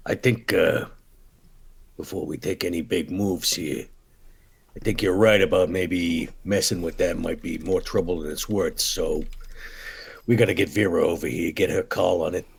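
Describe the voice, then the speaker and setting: A man playing a hesitant, plain-spoken male character in a tabletop roleplay, with a husky, gravelly voice and folksy manner. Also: deep voice, fairly even intonation, normal speed, exaggerated voice. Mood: hesitant, cautious. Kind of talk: tabletop roleplay actual play.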